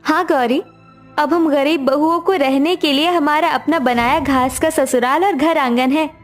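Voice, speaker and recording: A woman's voice: high voice, steady tone, measured pace. A woman voicing a poor, devoted, hopeful young daughter-in-law in a cartoon family story. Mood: proud. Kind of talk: cartoon dialogue acting. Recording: music behind the voice.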